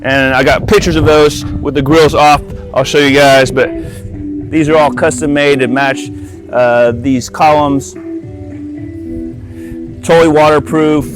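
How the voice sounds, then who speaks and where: A man's voice: on the high side, steady tone, a little slow, pauses often, over music, low-quality recording. A man presenting his audio installation work in a casual, enthusiastic walkthrough, talking informally to viewers outdoors. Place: outdoors by a pool and patio.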